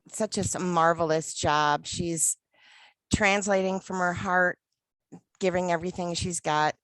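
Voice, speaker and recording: Woman host, low voice, slow calm guiding, dry close sound, video call. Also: smooth, no room sound. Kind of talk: guided meditation and hosting.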